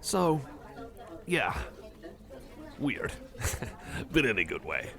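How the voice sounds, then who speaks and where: A man's voice: mid-range voice, big pitch swings, very slow. A man chatting casually to the listener in an ASMR roleplay, rambling with a hesitant, geeky enthusiasm. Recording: cafe chatter behind the voice. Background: cafe crowd ambience.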